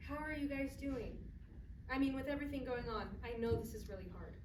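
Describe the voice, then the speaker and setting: A caring, attentive relative played by a woman in a stage drama, with a high voice and solicitous, plain delivery. She is heard from far off in an echoey room. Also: fairly quick, lightly acted. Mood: concerned. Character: caring, attentive adult family member, reassuring. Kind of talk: staged play reading. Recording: echoey room, distant mic, background noise.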